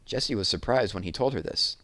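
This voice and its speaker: A man's voice: on the low side, animated, fairly quick, pushed and bright, no room sound. A man reading a children's novel aloud as a storytelling narrator.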